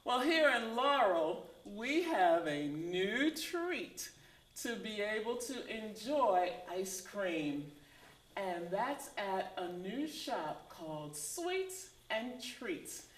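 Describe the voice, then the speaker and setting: A woman hosting a community interview program, introducing her guest and asking questions in a measured style. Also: medium pitch, very animated, poor audio. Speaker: interview host.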